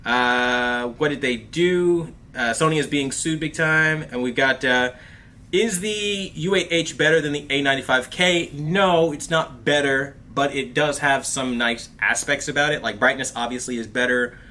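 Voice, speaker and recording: A man hosting a casual livestream, talking in an animated, chatty way with plenty of fillers and calling his viewers bro and dude. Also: mid-range voice, moderate pace. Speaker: host of a TV review livestream. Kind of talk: casual livestream chat reading viewer comments.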